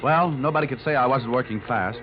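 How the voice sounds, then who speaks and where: An actor playing a wry, glib, tough private investigator who flirts and wisecracks, in the style of 1950s radio detective drama. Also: male voice, mid-range voice, brisk, close to an everyday voice. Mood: wry narrating. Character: wisecracking, flirtatious male hard-boiled investigator narrating his case. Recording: muffled vintage radio recording with music.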